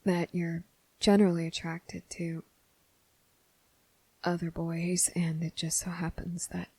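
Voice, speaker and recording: ASMR roleplay lead: earnest, nervous young man played by a woman, very even pitch, close dry sound, soft and halting. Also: no room sound, exaggerated voice. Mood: tender, careful. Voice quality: soft, breathy, slipping into whisper. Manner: halting, hesitant, often pausing mid-sentence. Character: nervous, earnest, gentle young male trainee confessing his feelings. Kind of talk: ASMR roleplay addressed to the listener.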